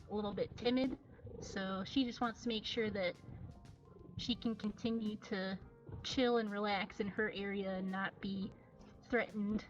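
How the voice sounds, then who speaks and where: Casting: woman livestream host, fairly high voice, giggly and affectionate, casual chat, dull lo-fi audio with music behind. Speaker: cat cafe livestream host. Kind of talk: casual live showcase chat. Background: faint music.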